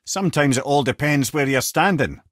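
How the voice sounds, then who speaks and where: A garrulous old Scottish sage from a video game. His voice is husky and swings widely in pitch, close and dry. He talks with wry, eloquent wit, like a born storyteller. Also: animated, moderate pace, fairly bright tone, no echo. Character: witty, garrulous, wise severed-head companion, older man.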